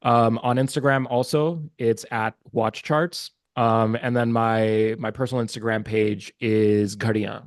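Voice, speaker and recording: A man's voice: on the low side, creaky, dry recording. A man from Pakistan whose first language is Urdu, a podcast guest talking casually and earnestly, with many fillers and restarts. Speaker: guest, watch market research business developer. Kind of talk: casual interview chat.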